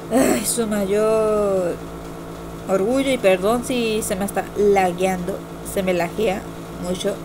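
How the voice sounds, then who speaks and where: A young woman giving a casual opinion vlog in a rambling, hesitant way, often repeating herself. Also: medium pitch. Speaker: amateur video reviewer. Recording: noisy amateur home computer recording.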